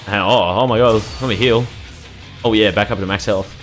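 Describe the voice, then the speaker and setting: A man's voice: fairly low pitch, steady tone, a little fast, faint music behind. A young man casually commentating his gameplay, rambling and swearing freely as he reacts to the game. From Australia. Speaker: gaming YouTuber.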